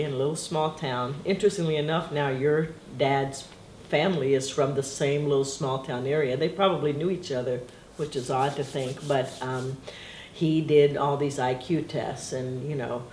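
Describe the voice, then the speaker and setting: A woman's voice: extremely low pitch, moderate pace, a little mellow. A middle-aged woman whose first language is Portuguese, being interviewed at home, talking in a rambling, reflective way and laughing often. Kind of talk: casual reminiscing interview. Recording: echoey room with faint noise.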